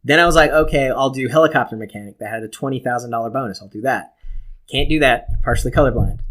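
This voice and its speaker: A man's voice: medium pitch, fast, fairly bright tone. A man chatting casually as a podcast co-host, telling stories in a profane, self-mocking way. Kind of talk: casual chat, storytelling.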